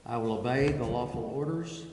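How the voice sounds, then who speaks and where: A man in a formal official role, administering an oath in short, measured, solemn phrases in a council chamber. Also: medium pitch, a crowd in the background. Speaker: police chief administering an oath. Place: large echoey council chamber. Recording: echoey hall, distant mic, people audible.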